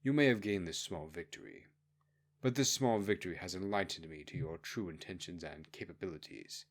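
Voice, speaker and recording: A man's voice: low-pitched, fairly quick. A man voicing a cold, calculating imperial schemer in a story, with a formal, menacing manner. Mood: cold resolve.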